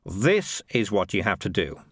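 A man's voice: lowish voice, a little slow, breathy edge, no room sound, clean studio sound, heavily acted. A man voicing a battered, grumpy male cat in a storybook, gloomy and dryly sarcastic. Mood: weary scorn. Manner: gloomy, sighing, deadpan sarcasm.

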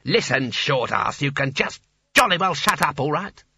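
A man voicing a pompous, irritable wizard ringleader in an audiobook, bossing people about briskly and with exasperation. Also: fairly high pitch, very animated, pushed and bright, dry recording, clean studio sound, voice pushed up, full character voice. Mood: angry.

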